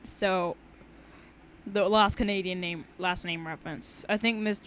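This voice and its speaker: A woman's voice: mid-range voice, fairly even intonation, a little slow, a bit bright. Informal young woman on a noisy homemade recording. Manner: informal, giggly, stumbling over words. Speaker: amateur reader of own fan fiction. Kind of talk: casual read-aloud with banter.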